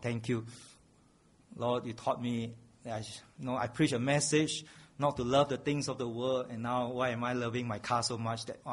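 A man preaching a sermon, earnest, repeating a refrain and building to raised emphasis. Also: medium pitch.

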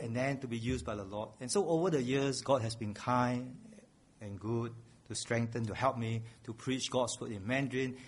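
A man's voice: mid-range voice, breathy edge. A man preaching a sermon, earnest, repeating a refrain and building to raised emphasis.

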